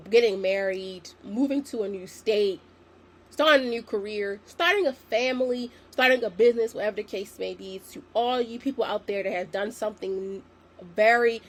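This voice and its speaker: A young woman in her twenties hosting a solo podcast, slightly raspy from a sinus infection, chatty and encouraging as she talks to her listeners. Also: mid-range voice. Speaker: podcast host. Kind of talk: casual solo podcast talk.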